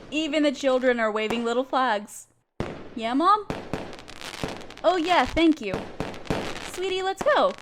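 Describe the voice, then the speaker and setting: A woman's voice: high voice, lively intonation, slow pace, long pauses. A woman playing an affectionate, reassuring girlfriend in a roleplay audio, speaking sweetly and tenderly to a partner. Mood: cheerful, excited. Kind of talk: roleplay audio acting, addressing the listener as partner. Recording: noisy park and fireworks effects. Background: park sounds, fireworks.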